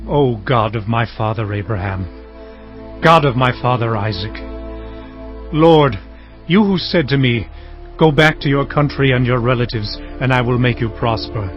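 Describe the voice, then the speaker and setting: Male actor as humble patriarch, solemn, measured delivery, mid-pitched, scripture audio drama, music behind, dull sound. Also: close to an everyday voice. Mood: anxious, pleading. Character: earnest, humble herdsman patriarch fearing his brother.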